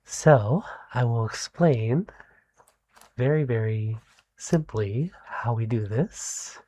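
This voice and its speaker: Slow, mellow, soft-spoken ASMR doctor of unclear gender. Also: mid-range voice, poor audio. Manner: soothing, encouraging, deliberate pauses. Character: gentle, kindly animal doctor examining a patient. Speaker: ASMR performer playing a doctor.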